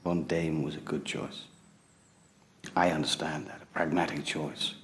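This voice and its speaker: An actor playing a hot-blooded, idealistic composer in a period drama, blunt and impassioned, sometimes sardonic or tender. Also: male voice, a bass voice, little pitch movement, a little slow. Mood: tender, subdued. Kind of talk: period television drama acting.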